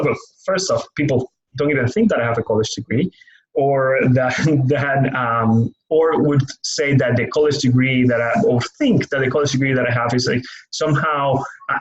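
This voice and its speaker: A young man with a Cuban Spanish accent talks at a middle pitch, reflecting carefully and pausing to find the right words. He is a native Spanish speaker doing pastoral care work. The audio is slightly muffled and dull. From Cuba. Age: young adult. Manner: thoughtful, searching for words. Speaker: lay pastoral care worker. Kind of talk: reflective personal interview monologue.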